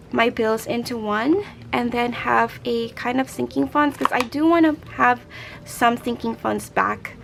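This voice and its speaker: A young woman with a hoarse, raspy voice and a bit of a gravelly edge, talking in a loose, rambling way as she counts bills aloud. Her voice sits a little on the high side, with faint room hiss behind her. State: hoarse from a late night. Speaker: budgeting vlogger. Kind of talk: casual chat while stuffing cash envelopes.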